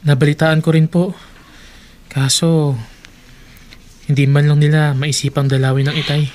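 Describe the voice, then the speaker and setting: Man acting dutiful, subdued young son, even-toned. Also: fairly high pitch, moderate pace, natural voice. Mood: hurt, subdued. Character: dutiful young son, gentle, worried and respectful. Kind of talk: radio drama acting.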